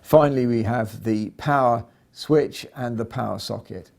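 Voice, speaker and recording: A man giving an instructional product demonstration in a measured, explanatory way. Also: mid-range voice, vocal fry, a little mellow. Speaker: product presenter.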